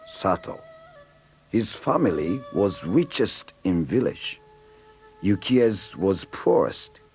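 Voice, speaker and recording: A man's voice: sits low, very animated, takes it slowly, a little mellow, soft background music, heavily acted. A man playing an older, stoic Okinawan karate master in a read-along story, speaking terse broken English with a Japanese accent. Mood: solemn, wise.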